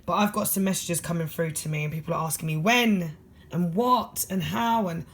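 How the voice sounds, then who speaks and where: A tired tarot reader with a husky voice and a London accent, talking fast and bluntly through a general tarot reading. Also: medium pitch, fairly bright tone.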